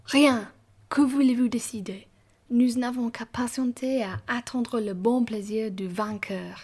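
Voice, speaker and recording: A dignified aristocratic gentleman acted by a woman with a husky, muted voice. He speaks formally and emphatically, with theatrical indignation, as in an amateur radio play. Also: on the high side, steady tone, a little slow. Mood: grave, resigned.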